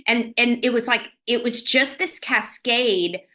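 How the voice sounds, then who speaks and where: A woman's voice: fairly high pitch, bright, forceful tone. A woman in her fifties, a fitness expert guest, chatting effusively in a casual interview and laughing easily. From Louisiana. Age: 50s. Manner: bubbly and effusive, quick to laugh.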